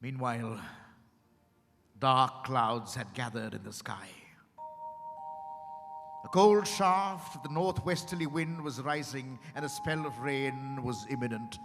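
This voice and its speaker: A dramatic male storyteller acted on stage narrates literary prose with a bright, forceful tone and theatrical deliberation. The voice echoes in a large hall. Music plays behind it. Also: medium pitch, slow, creaky, pauses often. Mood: suspenseful, descriptive. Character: dramatic male storyteller recounting a strange encounter.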